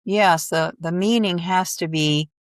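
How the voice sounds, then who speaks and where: A woman's voice: fairly low pitch, measured pace, dry recording, clean studio sound. A middle-aged woman hosting a podcast, chatting casually with her guest in an effusive, encouraging way. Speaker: podcast host. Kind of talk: casual interview chat.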